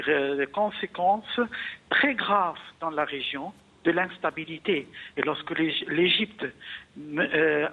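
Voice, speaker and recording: Higher-pitched man analyst on a narrow-band line. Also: husky. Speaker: political analyst, interview guest. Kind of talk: live news interview commentary. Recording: narrow-band radio phone-in sound.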